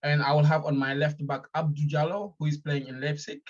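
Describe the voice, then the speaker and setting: A man from Senegal, a fan interviewed over a call, speaking calmly with frequent hesitations. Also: fairly high pitch, fairly quick, lo-fi sound. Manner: hesitant, many ums, calm. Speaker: guest fan. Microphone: phone or video call.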